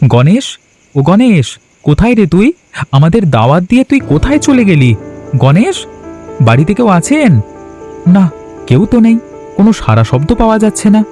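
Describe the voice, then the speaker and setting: A man's voice: high voice, sing-song, moderate pace, pauses often, warm tone. A man voicing an honest, timid husband who warns his greedy wife. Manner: anxious, scolding. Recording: voice over music.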